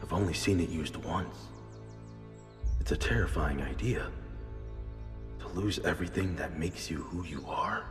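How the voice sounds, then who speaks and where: A man playing a grave, reluctant squad commander with a brooding, halting delivery in an anime audio drama. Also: sits low. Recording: long reverb under clear music.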